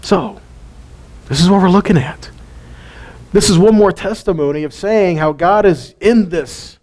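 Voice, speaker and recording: A middle-aged man with a drawl walks through a Bible passage in a relaxed, folksy manner. He keeps asking his listeners questions and chuckles between points. His voice sits a little higher than most men's. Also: unhurried. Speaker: Bible teacher. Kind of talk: casual Bible teaching.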